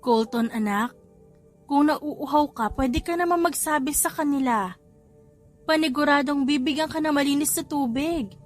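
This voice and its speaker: A woman voicing a caring young mother, gently chiding and concerned, in an audiobook story. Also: high-pitched, normal speed, soft background music, voice pushed up, close to an everyday voice. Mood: concerned scolding. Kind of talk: audiobook character voice in a family romance story.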